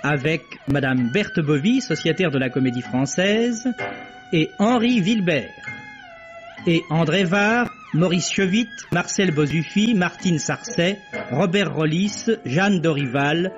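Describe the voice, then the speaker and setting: A muffled vintage radio recording with music behind a male announcer. His voice is fairly high and very slow, reading the credits of a radio play with formal deliberation. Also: animated.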